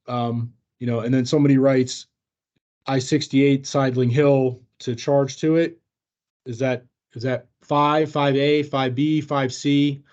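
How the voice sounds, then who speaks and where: A man with a mid-pitched voice leads an informal work training over an online call. He explains things haltingly and hedges as he goes. The audio is dull and lo-fi. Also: darkish tone. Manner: hesitant, halting, hedging. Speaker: trainer leading an internal staff training. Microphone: video call microphone.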